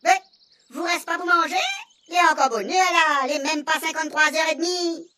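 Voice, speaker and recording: A woman's voice: very high-pitched, brisk, bright, forceful tone, a little hiss or hum. A woman with a Réunion Creole accent playing a polite, eager alien hosting humans in a comic radio serial. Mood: eager, insistent.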